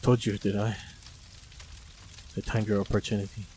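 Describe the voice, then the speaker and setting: A man acting in an audio roleplay as a composed, protective monster hunter, speaking in a measured, unhurried way. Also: lowish voice, flat delivery, a little mellow, a little hiss or hum. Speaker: voice actor playing a monster hunter. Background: faint sound-effect ambience.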